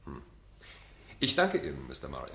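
An actor playing an earnest, dogged defence barrister in a German radio play, with a measured, probing manner. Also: male voice, very deep voice, huge pitch swings, gravelly, roomy sound, noisy background, heavily acted. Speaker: barrister and narrator.

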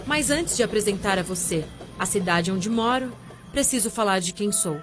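Actress as solemn free-spirited Amazonian sorceress, audio drama, measured literary narration, medium pitch, clear music underneath. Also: female voice, expressive, close to an everyday voice. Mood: solemn, mysterious.

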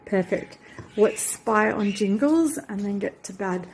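A woman's voice: medium pitch, expressive, unhurried, background noise. A woman, chatting casually to a phone camera in her home kitchen, rambling with many fillers. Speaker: vlogger. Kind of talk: casual vlog talk. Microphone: handheld phone camera.

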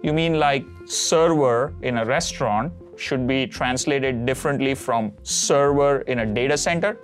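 A man in his forties whose first language is Konkani, presenting a cloud product demo in a steady, explanatory way with occasional fillers. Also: mid-range voice, measured pace, soft background music. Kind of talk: scripted tech demo narration. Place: studio set with map backdrop.

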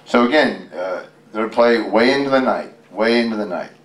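A man with a fairly low voice reads a storybook aloud in a roomy, echoing space, picked up from a distance. He is casual and folksy, wandering off into chatty asides to his listeners. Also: normal speed. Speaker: guest storyteller, tribute performer. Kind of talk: reading a picture book aloud with casual ad-libbed commentary. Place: public library reading event. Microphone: handheld microphone. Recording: roomy, echoey, distant microphone pickup.

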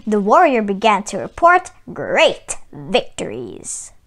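A woman reading a children's picture book aloud, with expressive, deliberate phrasing. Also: mid-range voice. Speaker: storyteller and read-aloud channel host.

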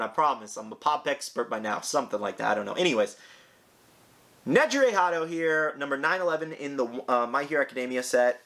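A young man with a hoarse, raspy voice from a sore throat, chatting casually as he unboxes a collectible toy in his home room. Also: mid-range voice, a bit bright. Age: young adult. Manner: chatty, rambling, eager.

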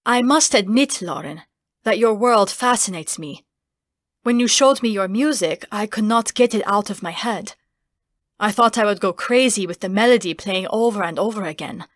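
A woman's voice: medium pitch, lively intonation, moderate pace, no echo, natural voice. Young fantasy male female narrator: inquisitive, formal, audiobook style, dry close sound. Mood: fascinated, puzzled. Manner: formal, fascinated. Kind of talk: audiobook character acting.

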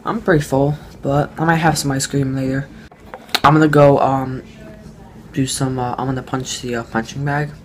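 A teenage boy talks straight into a close phone camera at home, mid-pitched and easygoing. He wanders through his plans with plenty of ums and uhs. A faint household hum sits under the voice. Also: flat delivery, normal speed. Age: teens. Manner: rambling, many ums and uhs, offhand. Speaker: vlogger. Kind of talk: casual vlog talk to camera.

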